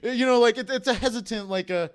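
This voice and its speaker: Man, young adult, high voice, comedy podcast host, rambling, self-deprecating. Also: fast talker, clear, resonant tone. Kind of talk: casual storytelling.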